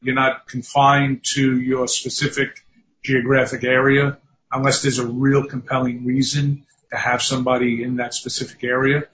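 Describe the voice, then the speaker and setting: A man's voice: medium pitch, level tone, moderate pace, a bit bright. An older man with a New York accent, a recruiting firm founder talking as a podcast guest over a remote call, chatty with dry self-deprecating asides. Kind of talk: podcast interview. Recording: dull lo-fi remote audio.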